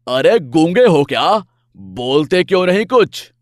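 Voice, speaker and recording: A man's voice: fairly high pitch, big pitch swings, unhurried, no echo, studio quality, voice pushed up. A man voicing a bullying, boastful lion king in a cartoon animal fable, demanding and blustering. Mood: angry, mocking. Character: bullying boastful lion king, cowed and whining once beaten.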